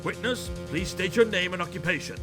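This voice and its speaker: Guy doing stern judge, formal announcements, high-ish lively voice, game parody w loud music. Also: fairly quick, lightly acted. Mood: stern, official. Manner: formal, declamatory. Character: stern, pompous male courtroom judge. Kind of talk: comic fan dub of courtroom game. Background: loud background music.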